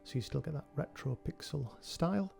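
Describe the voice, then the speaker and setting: Man, head cold, gravelly, mellow tone, relaxed tutorial talk, faint music behind. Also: fairly high pitch, brisk. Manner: relaxed, thinking aloud with many ums. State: has a cold. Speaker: game developer tutorial host. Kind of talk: screen-recorded software tutorial.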